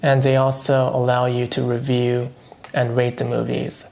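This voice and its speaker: Flat-toned man with a gravelly voice. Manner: unhurried, thoughtful, low-key delivery with dry asides. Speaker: vlogger reviewing streaming shows. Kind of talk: casual solo commentary.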